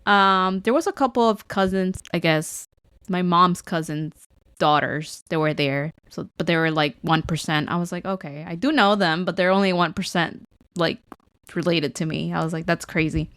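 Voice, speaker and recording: A woman's voice: lowish voice, moderate pace, fairly bright tone, dry recording, studio quality. A woman from Guatemala co-hosting a podcast in casual, chatty, informal conversation. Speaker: podcast co-host. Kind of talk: casual unscripted podcast chat.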